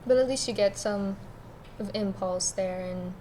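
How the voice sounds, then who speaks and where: A woman's voice: mid-range voice, unhurried, mellow, a little hiss or hum. A woman chatting casually as a co-host on an amateur fan podcast. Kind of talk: casual chat.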